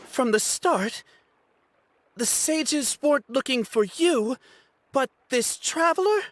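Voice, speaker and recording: A woman's voice: a little high, breathy edge, no echo, heavily acted. A woman voicing a proud, touchy young male student who stammers when flustered. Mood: pondering.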